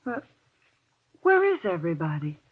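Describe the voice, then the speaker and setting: An actress playing a timid, anxious wife, hesitant and pleading, in an old-time radio horror drama. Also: female voice, fairly low pitch, huge pitch swings, normal speed, husky, exaggerated voice. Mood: meek, uneasy. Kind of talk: golden age radio horror acting. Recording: tinny, narrow old radio recording.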